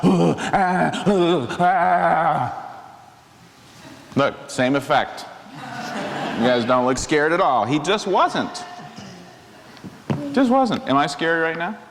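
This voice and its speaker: Unhurried man reading aloud in a reverberant hall. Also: fairly high pitch, expressive. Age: middle-aged. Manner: unhurried, playful, chatty asides. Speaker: minister reading a picture book to children. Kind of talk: animated read-aloud story time with asides to children. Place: large church hall, seated among children. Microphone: lavalier clipped to shirt. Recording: reverberant hall, faint background noise.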